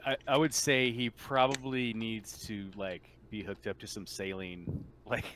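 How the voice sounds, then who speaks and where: A man improvising narration as a tabletop game master, halting often and padding with "like". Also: on the low side, flat delivery, fairly bright tone. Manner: halting, filler-heavy "like". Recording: noticeable background noise on mic.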